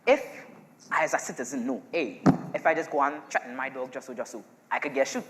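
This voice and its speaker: A woman acting in a stage play as an eager, earnest debater, with a Trinidadian accent and an animated, interjecting manner. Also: a bass voice, quick pace. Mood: earnest, persuasive. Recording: echoey stage hall.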